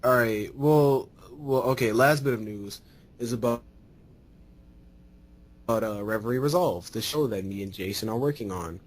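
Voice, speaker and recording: A young man hosting a casual podcast, relaxed and hesitant, moving through topics with a lot of filler. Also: mid-range voice, normal speed, pauses often. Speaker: podcast host. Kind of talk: casual chat.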